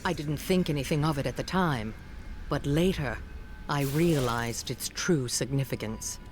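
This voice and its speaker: An actor playing a zealous, fiercely dedicated demon-hunting parent in a video game, solemn and emphatic. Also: male voice, on the high side, a little slow, a little hiss or hum, close to an everyday voice.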